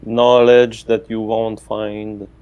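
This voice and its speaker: Italian guy, low mellow voice, lots of uhs and restarts, chatting about research. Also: flat delivery, slow, smooth, dull audio. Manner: hesitant, many fillers and restarts. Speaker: researcher guest. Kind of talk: casual academic conversation.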